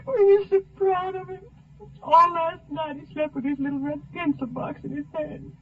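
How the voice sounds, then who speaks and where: A woman's voice: extremely high pitch, steady tone, moderate pace, a little hiss or hum. An actress playing a tearful, heartbroken mother in a 1950s radio drama. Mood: grief-stricken. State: tearful, crying. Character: grieving young mother, dazed and heartbroken. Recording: tinny old 1950s radio recording.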